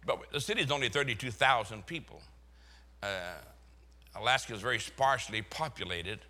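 A man's voice: mid-range voice, sharp tone. Chuckling older Boston preacher man. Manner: folksy, chuckling storyteller. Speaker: pastor. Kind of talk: sermon with humorous stories.

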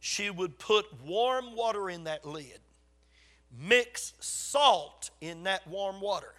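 An older man with a Southern US accent preaching in a folksy, emphatic storytelling way, his voice slightly raspy from a lingering cold. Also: sits high, expressive, takes it slowly, a bit bright. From South Carolina, USA. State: getting over a cold. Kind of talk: sermon to a congregation.

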